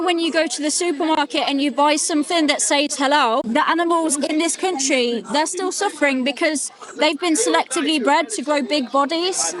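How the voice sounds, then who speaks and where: A woman with a high, bright-sounding voice makes her case earnestly to a stranger, pressing gently with questions. She is recorded outdoors on a street, with a crowd chattering clearly behind her. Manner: earnest, persuasive, asks probing questions. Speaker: street interviewer. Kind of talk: street outreach conversation. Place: outdoors in a busy public street.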